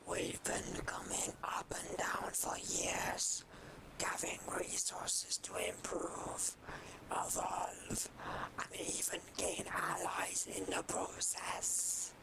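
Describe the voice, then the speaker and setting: An actor playing a menacing, vengeful reptilian creature in an audio drama, with a breathy, hissing delivery and ominous deliberation. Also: mid-range voice, huge pitch swings, slow pace, full character voice, low-quality recording. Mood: cold, ominous. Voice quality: breathy gravelly whispered hiss.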